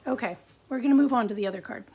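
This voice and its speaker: A middle-aged woman with a gravelly voice, chatting and thinking aloud through a live card-making demo at her craft desk. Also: on the high side, rapid-fire, a little mellow.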